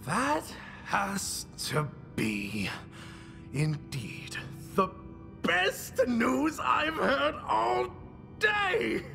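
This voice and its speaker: A man's voice: very high voice, wildly swooping pitch, extremely slow, gravelly, bright, forceful tone, heavily acted. A man voicing a pompous, sarcastic, seething villainous boss in a cartoonish game cutscene. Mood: manic false cheer. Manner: pompous, grandiose, mock-delighted. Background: music behind the voice.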